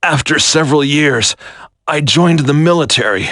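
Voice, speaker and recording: An actor playing a grave military commander turned traitor in a video game, solemn, deliberate and resigned to fate. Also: male voice, a little high, fairly bright tone, no echo, clean studio sound, close to an everyday voice. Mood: solemn, fatalistic. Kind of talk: dramatic scripted video game acting.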